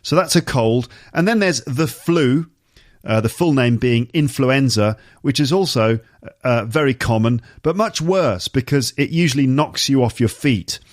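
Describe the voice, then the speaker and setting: A man with a slight cold, teaching vocabulary on his podcast in a chatty way, repeating key words to make them clear. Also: mid-range voice, measured pace, dry recording, studio quality. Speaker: English teacher and podcast host. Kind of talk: casual explanatory podcast monologue for learners.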